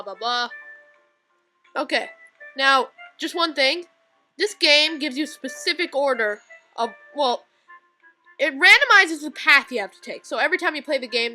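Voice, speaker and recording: A woman's voice: sits high, sharp tone, low-quality recording. A young woman giving casual commentary as she plays through a video game. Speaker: let's play gamer. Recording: game music behind voice.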